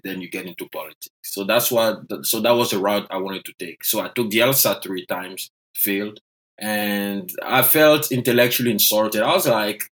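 A man's voice: lowish voice, fairly bright tone. A man in his thirties from Côte d'Ivoire, a native French speaker, telling his own story as a podcast guest in a reflective, self-deprecating way. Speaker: guest economist. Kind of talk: podcast interview.

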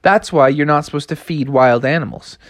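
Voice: medium pitch, a little fast, no echo, clean studio sound, lightly acted. A storyteller voicing a plucky, bossy young male frog with an emphatic surfer-dude manner. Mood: matter-of-fact. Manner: emphatic, cocky surfer slang.

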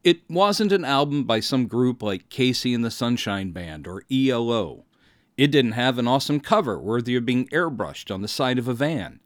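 American male podcast host, fifties, wry storyteller with very lively intonation and a dry, close studio sound. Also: medium pitch, moderate pace, no room sound. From Mississippi, United States. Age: 50s. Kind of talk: scripted autobiographical narration.

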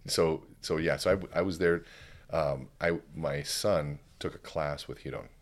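A middle-aged man with an extremely deep, resonant voice talks in a relaxed podcast conversation. He uses deliberate pauses and speaks from the chest. He tells stories at a measured, unhurried pace. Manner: deliberate, resonant voice from the diaphragm, storytelling. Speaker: keynote speaker and guest.

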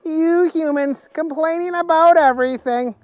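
Man putting on a high-pitched, gleeful little villager creature. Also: moderate pace, no room sound, highs cut off. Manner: gleeful, enthusiastic, coaxing. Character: cheerful non-human villager who relishes eating worms. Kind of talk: children's story character voice.

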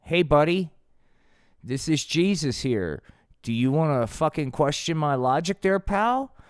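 A man's voice: on the high side, very animated, a little slow, clean tone, no room sound, studio quality, pitched above the performer's own voice. A man voicing a bossy, impatient Jesus puppet in a comic show, badgering in casual slang. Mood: bossy, challenging.

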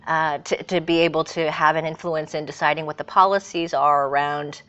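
Low-voiced American woman, thoughtful. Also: steady tone, a bit bright, clear, resonant tone. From California, USA. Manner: earnest, thoughtful, with frequent pauses and fillers. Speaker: guest. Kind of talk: reflective interview answers. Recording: dull lo-fi remote audio.

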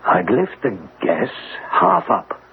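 A man's voice: mid-range voice, big pitch swings, takes it slowly, gravelly, full character voice. An actor playing a stern, anguished middle-aged father with formal, theatrical delivery in an old radio drama. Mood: fearful, grave. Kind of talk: vintage radio drama acting. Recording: tinny, hissy old radio recording.

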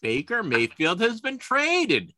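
A man's voice: sits high, wildly swooping pitch, slow, pushed and bright, dry recording, studio quality. A man in his fifties hosting a casual sports podcast, chatty, with frequent restarts and repeated words. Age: 50s. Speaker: podcast host.

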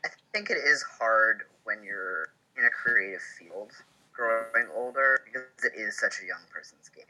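A thirty-year-old man, a podcast guest, speaking in a thoughtful, measured way. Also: lowish voice, lively intonation, sharp tone, rough recording. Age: 30s. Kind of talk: casual podcast chat.

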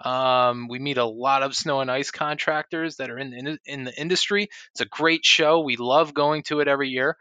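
A man's voice: mid-range voice, steady tone, moderate pace, hardly any pauses, sharp tone, smooth, dry recording, lo-fi sound. A man co-hosting a casual podcast, upbeat and friendly, with plenty of fillers. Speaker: podcast co-host.

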